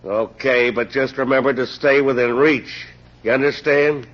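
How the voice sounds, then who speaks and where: Actor as terse, dull-sounding radio police inspector. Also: male voice, mid-range voice, steady tone, moderate pace, natural voice. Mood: stern. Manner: terse, pointed questioning. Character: skeptical, gruff police inspector questioning suspects. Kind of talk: 1940s radio mystery acting. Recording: dull old radio recording.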